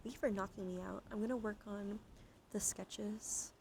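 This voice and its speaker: A woman's voice: medium pitch, steady tone, normal speed, gravelly, noisy background. A woman streamer talking casually to her viewers in an informal, slangy, chatty way. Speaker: livestream host, ASMR streamer. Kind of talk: casual livestream chat to viewers.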